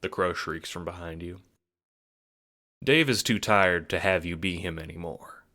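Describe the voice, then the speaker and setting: A man's voice: sits low, measured pace, sharp tone. A man narrating a scripted second-person story in a dramatic storytelling manner. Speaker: narrator and producer. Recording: music under the voice. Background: music.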